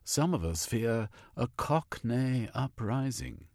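Brief: aloof scientist gatekeeper, voiced by a male narrator, measured, disdainful, husky grain. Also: mid-range voice, expressive, darkish tone, no room sound, clean studio sound, voice pushed up, full character voice. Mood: grave. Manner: haughty, measured. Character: supercilious tall male zoologist official.

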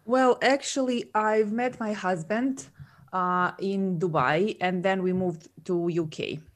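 A woman's voice: medium pitch, takes it slowly. A woman from Serbia, chatting casually as an interview guest, with lots of fillers and frequent laughs. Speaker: guest, coach and entrepreneur.

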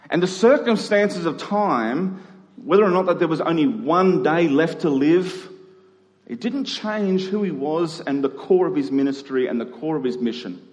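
A man's fairly high voice delivers a sermon in a hall with long reverb. He talks conversationally to the congregation, leaning on key phrases with deliberate emphasis. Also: darkish tone. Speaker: preacher. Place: large reverberant room, live church service.

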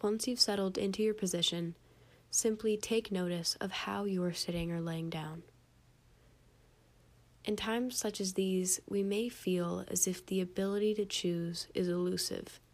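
A young adult woman reading a scripted guided meditation in a calm, gentle, measured way, with soft phrase endings. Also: mid-range voice.

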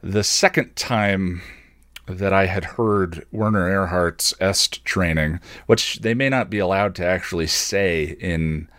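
A man hosting a solo podcast, talking casually and reflectively in a halting, self-questioning way. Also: low voice, fairly bright tone, no room sound, studio quality. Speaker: podcast host and video creator.

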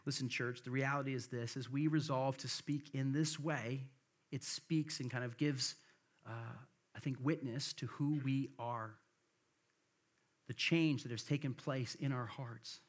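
A middle-aged man from the American Midwest with a Midwestern accent slightly softened by the South, preaching a sermon, reading scripture in an earnest way and tossing in "right?" to the congregation. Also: medium pitch, steady tone, measured pace. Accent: Midwestern, a little softened by the South. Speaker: pastor.

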